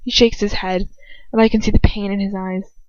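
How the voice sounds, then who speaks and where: A woman's voice: medium pitch, flat delivery, fairly quick, no echo, highs cut off. A woman reading a first-person story dramatically, stumbling now and then and rereading phrases. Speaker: amateur fan fiction reader.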